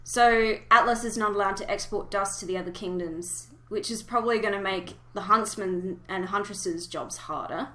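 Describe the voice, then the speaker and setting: A woman hosting a casual fan discussion, talking emotively with hesitant pauses as she thinks aloud. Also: mid-range voice, a bit bright. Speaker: podcast host.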